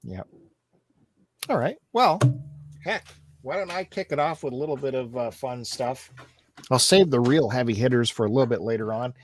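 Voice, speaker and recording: A man's voice: mid-range voice, lively intonation, moderate pace, pauses often, a little hiss or hum. A man hosting a casual live-stream chat, upbeat and teasing what is coming up on the show. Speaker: host of a collectors' live chat.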